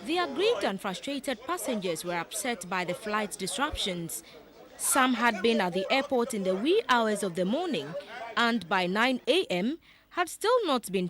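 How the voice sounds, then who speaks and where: A woman's voice: medium pitch, fairly bright tone. A woman with a Ghanaian accent reading a scripted television news report.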